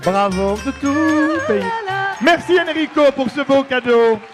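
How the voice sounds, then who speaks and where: A man's voice: very high voice, animated, slow pace, over music, a crowd in the background. A man with a Belgian French accent hosting a gala on stage, announcing emphatically. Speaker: gala host. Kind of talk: stage presenting.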